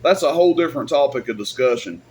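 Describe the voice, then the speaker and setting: A man's voice: medium pitch, expressive, fast talker, a little mellow, a little hiss or hum. A man giving casual, off-the-cuff advice in a rambling, plain-spoken, colloquial way, like a YouTube host. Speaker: YouTube host and radio equipment seller.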